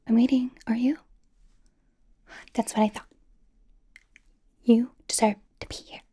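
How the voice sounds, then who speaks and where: A woman plays a young female K-pop idol in an ASMR roleplay. Her voice is a breathy whisper, soft and mellow, edging higher when voiced. She rambles in a nervous, bubbly, supportive way, hesitant but reassuring. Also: little pitch movement, a little slow. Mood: encouraging, eager.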